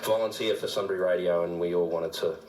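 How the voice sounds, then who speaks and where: Low-voiced Australian radio man in echoey room. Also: steady tone, quick pace, darkish tone. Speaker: community radio presenter. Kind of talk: casual live radio chat. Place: small radio studio. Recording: roomy echoing studio sound.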